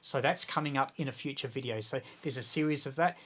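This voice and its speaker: A man's voice: mid-range voice, fast talker, fairly bright tone. A man with an Australian accent explaining practical things in a casual interview, with an enthusiastic, practical manner. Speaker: guest, amateur radio video maker and author.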